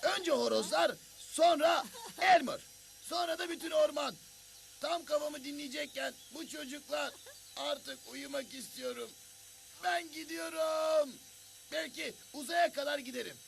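A man's voice: very high-pitched, a little slow, vocal fry, slight background noise, exaggerated voice. A man voicing a grumpy, exasperated forest creature in a children's cartoon, complaining dramatically and repeating himself.

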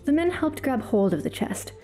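A woman hosting a podcast and giving a dramatic reading of a fantasy story, turning hushed in tense passages. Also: high voice, big pitch swings, moderate pace, faint music behind. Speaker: podcast host and reader.

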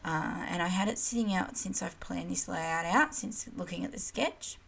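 A woman, croaky from losing her voice, chatting casually through a scrapbooking voiceover with lots of ums. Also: on the low side, moderate pace, fairly bright tone. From Australia. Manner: chatty, rambling, self-deprecating, many ums. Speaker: kit club design team crafter. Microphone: voiceover recorded separately from hands-on video.

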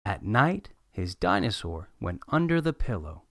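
Animated man reading a picture book, dry sound. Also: on the low side, measured pace, dry recording. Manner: animated storytelling. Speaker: read-aloud host. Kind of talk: expressive picture book narration.

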